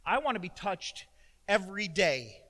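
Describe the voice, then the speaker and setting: A middle-aged man preaching a sermon as pastor, loud and emotional, with self-deprecating humor. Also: high voice, big pitch swings, moderate pace. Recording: long hall reverb.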